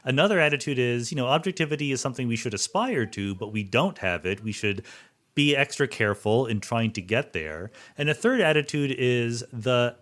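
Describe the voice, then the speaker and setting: A man's voice: mid-range voice, animated, a little fast, a bit bright. A man hosting a podcast, speaking in an articulate, explanatory way. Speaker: podcast host. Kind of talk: podcast hosting, interview talk and ad read.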